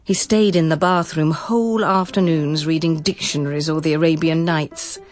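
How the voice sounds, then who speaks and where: A woman's voice: sits low, steady tone, normal speed, soft background music. A woman with a British accent reading literary, scripted narration for a radio drama.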